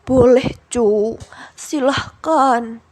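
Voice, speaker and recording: Woman acting elderly grandmother, very slow and drawn-out, gentle, patient, soothing, children's storybook. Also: on the high side. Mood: calm, inviting.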